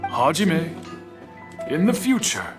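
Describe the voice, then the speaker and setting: A man playing an old master swordsmith in a video game, measured and formal, gently admonishing in a fatherly way. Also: fairly high pitch, wildly swooping pitch, heavily acted. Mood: calm, courteous. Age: older. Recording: game music behind the voice.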